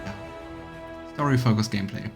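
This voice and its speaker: A man's voice: fairly low pitch, moderate pace, vocal fry, over music. A man giving casual let's play commentary on a video game, musing aloud and trailing off as he goes. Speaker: streamer playing a video game.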